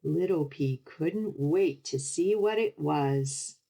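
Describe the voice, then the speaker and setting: A woman storytime host reading picture books and rhymes to young children, expressive and deliberately clear in her wording. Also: sits low. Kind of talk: children's picture book read-aloud and action rhyme.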